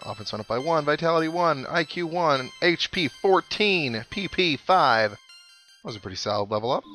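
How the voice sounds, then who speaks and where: Guy doing a casual lets play, mid pitched voice, thinks out loud, wry jokes, game music clearly playing behind him. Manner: chatty, thinking aloud to viewers. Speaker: gaming video host. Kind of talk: casual let's play commentary. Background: video game music.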